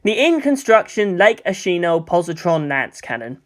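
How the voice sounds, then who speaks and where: Story narrator, medium-pitched and very animated, expressive scripted reading with dramatic emphasis. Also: normal speed. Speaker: audiobook narrator. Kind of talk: scripted narration of fan fiction.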